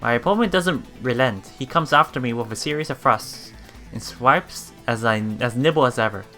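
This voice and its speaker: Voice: mid-range voice, bright, forceful tone. A let's play streamer reading game text aloud with casual reactions, often stumbling over words and repeating them.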